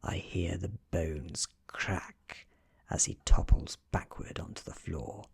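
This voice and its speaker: A British man reading a story as soft-spoken ASMR narration, hushed and often dropping into a whisper. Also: low-pitched, takes it slowly, creaky, husky. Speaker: audiobook reader.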